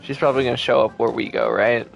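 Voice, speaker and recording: A man's voice: medium pitch, fairly even intonation, fast, gravelly, highs cut off. Casual male game streamer, faint music behind. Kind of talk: casual playthrough commentary.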